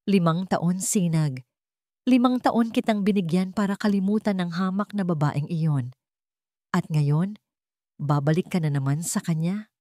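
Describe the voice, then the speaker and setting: A woman's voice: lowish voice, measured pace, pauses often, dark tone, no echo, studio quality, natural voice. Woman playing a cold, domineering old patriarch. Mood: scornful. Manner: commanding, contemptuous.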